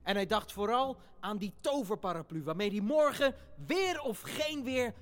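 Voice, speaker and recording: An actor playing an eager, tender little brother, telling a fairy tale in a boyish, theatrical way for children. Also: male voice, sits high, lively intonation, moderate pace, soft background music. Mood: soft, tender.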